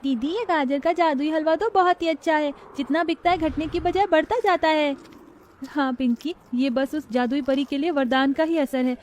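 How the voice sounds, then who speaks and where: Woman voicing a high-pitched, pleading orphan girl. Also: normal speed, darkish tone, lightly acted. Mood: earnest. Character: poor orphan girls, earnest, plaintive young sisters. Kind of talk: Hindi moral-story cartoon dialogue.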